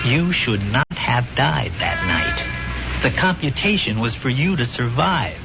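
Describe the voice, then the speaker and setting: Man putting on slow, officious afterlife clerk. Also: medium pitch, sing-song, vocal fry, loud music behind the voice, full character voice. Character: fussy, self-important clerk of the afterlife. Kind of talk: 1970s radio drama acting. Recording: dull old radio broadcast.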